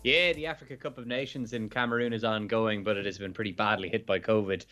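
Irish sports journalist guest, low croaky voice, dull line, chatty with restarts. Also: male voice, steady tone, quick pace, bright, forceful tone, soft background music. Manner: chatty, laughing, with self-interrupting restarts. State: slightly hungover and croaky. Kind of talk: radio phone-in chat.

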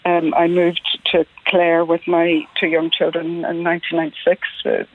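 A man's voice: fairly high pitch, completely flat delivery, moderate pace. A middle-aged man from Belfast with a Northern Irish accent, calling in to a radio show, polite and hesitant with many fillers. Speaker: caller. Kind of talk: radio phone-in call. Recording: tinny phone line.

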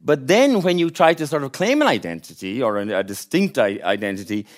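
A man's voice: medium pitch, expressive, brisk. A man hosting a panel discussion, asking probing questions. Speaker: host.

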